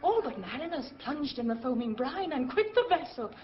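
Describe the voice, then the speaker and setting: High-pitched airy spirit, theatrical. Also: female voice, sing-song, moderate pace. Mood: exultant. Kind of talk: theatrical Shakespearean audio drama acting. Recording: muffled lo-fi audio, music behind.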